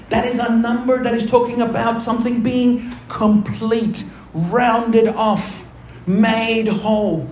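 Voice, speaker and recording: A man with a high voice preaches a sermon in an echoey church room, with a faint hum of ambience underneath. He poses rhetorical questions to the congregation and alternates between brisk asides and slow, dropped-down phrases for emphasis. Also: darkish tone. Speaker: pastor.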